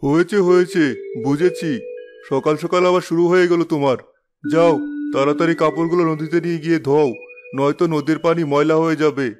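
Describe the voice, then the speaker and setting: A man's voice: a little high, fairly even intonation, fairly quick, music in the background, close to an everyday voice. A man playing a fed-up, argumentative village husband in a cartoon. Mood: exasperated. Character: exasperated village husband of a nagging wife. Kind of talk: cartoon voice acting.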